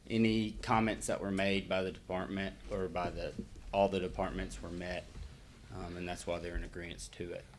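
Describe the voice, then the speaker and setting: A man speaking as the applicant's engineer at a public hearing, halting and hesitant. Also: low-pitched, flat delivery, a little hiss or hum.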